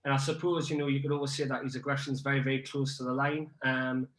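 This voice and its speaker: A man's voice: mid-range voice, little pitch movement, fast, fairly bright tone. Hesitant young man in an echoey room. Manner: hesitant, many ums and restarts. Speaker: guest rugby blogger. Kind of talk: casual podcast chat.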